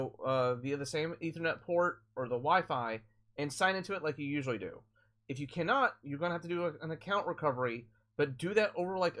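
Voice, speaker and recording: A man live-streaming a game, with a voice a little higher than most men's. He explains tech questions to viewers in a loose, rambling way, often restarting mid-sentence and stacking fillers. His tone is laid-back and chatty. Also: a bit bright. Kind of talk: casual live-stream chat answering viewers.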